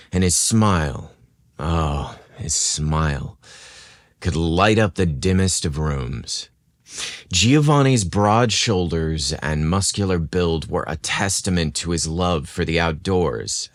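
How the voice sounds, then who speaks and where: Deep-ish guy reading a romance story, real slow and theatrical, dry close studio sound. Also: no echo. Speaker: podcast host and story narrator. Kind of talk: scripted narration of a romance story.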